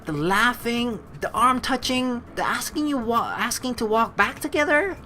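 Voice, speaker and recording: Animated, bright-voiced man doing let's play commentary. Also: extremely high pitch, moderate pace, faint music behind. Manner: rambling, slangy, exasperated asides, profane. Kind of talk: casual gameplay commentary, reading story text aloud.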